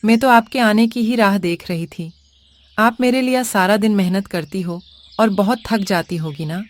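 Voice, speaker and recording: A woman's voice: mid-range voice, moderate pace, no room sound, lightly acted. A woman as a sweet, dutiful young village daughter. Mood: caring. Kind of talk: animated moral story dialogue.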